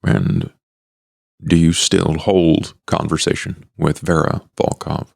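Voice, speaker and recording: A man acts out a shrewd vampire in a tabletop horror game. His voice is low and gravelly, with formal, deliberate, courteous phrasing, recorded dry and close. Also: big pitch swings, a little mellow, no room sound, heavily acted. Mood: formal, guarded.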